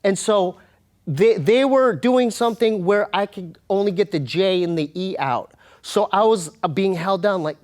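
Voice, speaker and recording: An American man telling a personal story as an interview guest, in a casual, slangy and halting but emphatic way. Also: sits high, darkish tone. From United States. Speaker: interview guest, comedian and hip-hop artist.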